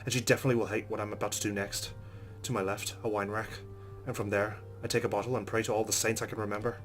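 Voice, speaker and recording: Quick-talking, low-pitched man narrating a game. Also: flat delivery, soft background music. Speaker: let's play host. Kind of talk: reading game narration aloud, with casual asides. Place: recorded voice-over with game music behind.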